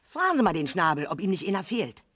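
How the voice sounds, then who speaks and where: An actress playing an excitable, talkative neighbourhood witness with a Berlin accent in a 1970s German radio crime drama. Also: female voice, lowish voice, fast talker, dry recording, clean studio sound. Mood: eager, insistent. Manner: colloquial, garrulous, emphatic. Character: chatty, excitable female neighbourhood witness, eager amateur sleuth.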